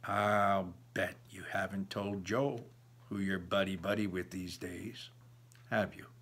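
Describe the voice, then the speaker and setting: Man reading as a stern, skeptical father, slow delivery. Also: deep voice, steady tone, a bit bright, breathy edge, voice pushed down, heavily acted. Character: stern, skeptical father challenging his teenage daughter.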